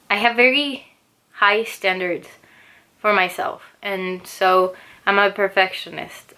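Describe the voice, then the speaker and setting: A young adult woman whose first language is Spanish, chatting casually about books on her own channel, relaxed, with frequent restarts. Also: medium pitch. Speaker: booktuber. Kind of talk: casual chat to camera. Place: home, indoors.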